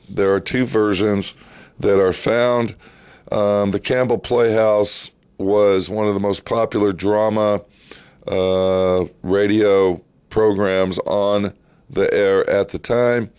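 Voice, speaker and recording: A man with a low voice hosts a vintage radio presentation. He speaks slowly and deliberately in an informal, unscripted way, leaning on words and repeating them for emphasis. The sound is close and dry. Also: steady tone, a little mellow, clear, resonant tone, dry recording. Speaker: host introducing vintage radio programs. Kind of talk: informal, unscripted spoken introduction.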